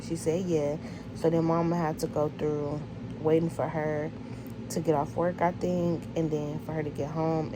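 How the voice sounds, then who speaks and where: A woman's voice: sits low, background noise. A young woman with a stuffy nose, candidly telling her birth story in a casual vlog, full of "like" and restarts. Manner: candid, conversational, filler-heavy. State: congested. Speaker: vlogger.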